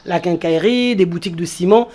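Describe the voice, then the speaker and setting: A woman's voice: lowish voice, a little fast, dark tone. A woman who grew up in France, talking as a guest in an interview and explaining things step by step, repeating key phrases for emphasis. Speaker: entrepreneur guest. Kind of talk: interview talk.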